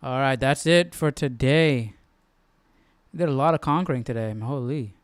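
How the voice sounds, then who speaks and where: A man hosting a Bible study stream in casual, slangy chat, halting as he thinks aloud. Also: medium pitch, clear, resonant tone, no room sound, clean studio sound. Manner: informal, slangy, halting as he works things out.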